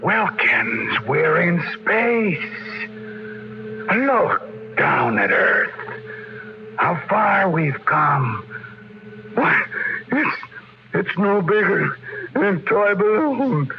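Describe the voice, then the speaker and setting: An actor playing a ruthless, domineering, power-hungry tycoon in a 1950s radio drama. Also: male voice, high-pitched, very animated, extremely slow, vocal fry, pauses often, heavily acted. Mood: awestruck. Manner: forceful, domineering, bombastic. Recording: muffled, noisy 1950s radio recording.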